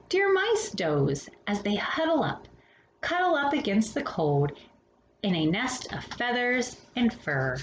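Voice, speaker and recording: A woman hosting a storytime, reading a children's picture book expressively with dramatic pauses and casual asides. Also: mid-range voice. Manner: animated, dramatic pauses between phrases. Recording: roomy echo with faint background noise.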